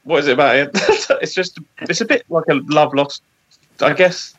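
A man's voice: fairly high pitch, animated, normal speed, a bit bright. A man chatting casually as a band member in an interview, over a lo-fi connection. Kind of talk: casual chat.

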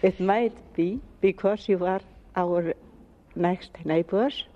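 A woman's voice: fairly low pitch, slow. Hesitant Icelandic-accented woman. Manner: hesitant, searching for words. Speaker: conference attendee. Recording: dull recording with faint background ambience.

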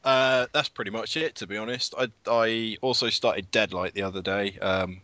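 A man on a casual gaming podcast, low-key and unimpressed. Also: steady tone, normal speed, bright, forceful tone, no room sound.

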